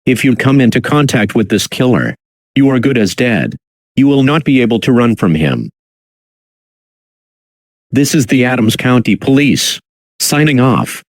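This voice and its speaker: A man reading a scripted emergency alert as an announcer, in a measured, official, impersonal manner. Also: medium pitch, animated, dry recording. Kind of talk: formal scripted emergency broadcast.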